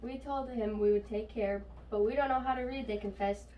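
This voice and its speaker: Female storybook reader, higher pitch, distant noisy recording. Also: steady tone, brisk, lo-fi sound. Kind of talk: read-aloud children's story narration. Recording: distant mic, faint noise.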